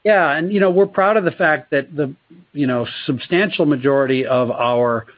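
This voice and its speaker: A man speaking as a company executive answering questions on an earnings call, in a measured way with repeated words. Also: a little high, slight background noise. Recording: dull, dry conference call audio.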